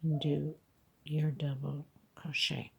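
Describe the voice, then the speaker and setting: A woman's voice: sits low, level tone, takes it slowly, vocal fry, husky. A woman teaching crochet step by step, counting stitches patiently, her voice congested. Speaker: crochet instructor. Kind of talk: step-by-step craft tutorial.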